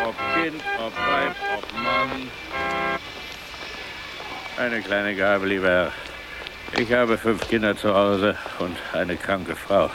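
A plaintive street singer begging for alms in a radio play. The delivery is extremely slow and drawn out, amid loud music. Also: medium pitch, poor audio. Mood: pleading. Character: pleading street singer begging with tale of hungry family. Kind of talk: 1970s German radio drama acting.

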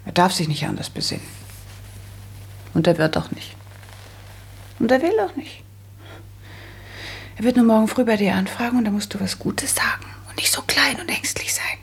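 A resolute, prim young woman in a radio play. Her voice is very raspy and gravelly at a middle pitch, and she talks in a firm, matter-of-fact way. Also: animated, moderate pace, pauses often, in a whisper, heavily acted. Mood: indignant, determined. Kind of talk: German radio drama acting.

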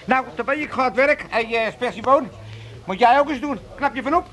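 A man's voice: very high-pitched, fairly quick, fairly bright tone. A male actor playing a scrounging old pensioner father, needling and blustering in a radio comedy. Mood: chipper. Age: older. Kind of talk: 1980s comedy radio drama acting.

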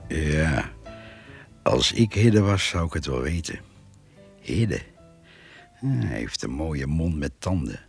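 An actor playing a grumbling, chatty older countryman in a radio play, gravelly, muttering and folksy. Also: a bass voice, sing-song, takes it slowly, pauses often, soft background music, full character voice. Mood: musing. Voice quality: gravelly, husky. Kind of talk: Dutch radio play acting.

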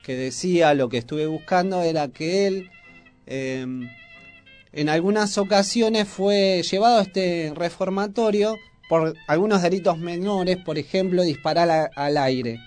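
A man with a fairly high voice and a Buenos Aires Argentine accent talks casually as a radio presenter. He explains in a hesitant, informal way, with music playing behind him. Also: measured pace, a little mellow.

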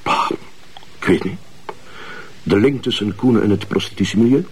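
An actor playing a musing, persistent police detective in a crime drama, with a Flemish accent. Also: male voice, fairly low pitch, moderate pace, husky. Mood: musing, insistent.

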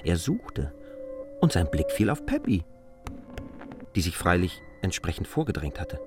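A man's voice: low-pitched, very animated, unhurried. A man narrating a literary radio drama from a script. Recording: studio voice over loud music. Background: loud music.

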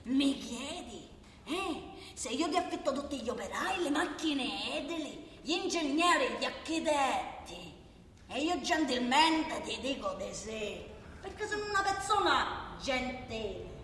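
A woman's voice: sits high, very animated, unhurried, fairly bright tone, slight background noise, exaggerated voice. A woman playing a bullying, mock-polite male Sicilian mafia boss in a satirical radio drama, menacing and wheedling, with frequent questioning interjections. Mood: mock-polite menace. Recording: echoey hall, distant mic, faint music.

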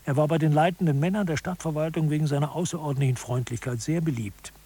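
A narrator in a satirical audio drama. His voice sits fairly high for a man. He tells the scripted material in an orderly, matter-of-fact way, as a co-author reporting findings. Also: steady tone, fast, dark tone, slightly rough. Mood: storytelling.

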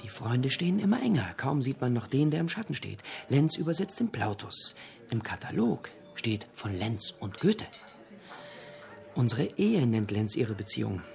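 An actor playing a talkative literature buff in a radio drama, lecturing theatrically in pithy, punchy fragments. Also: male voice, mid-range voice, very animated, normal speed, creaky, a little mellow, faint music behind. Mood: relishing, theatrical. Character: talkative literature buff holding forth in a pub.

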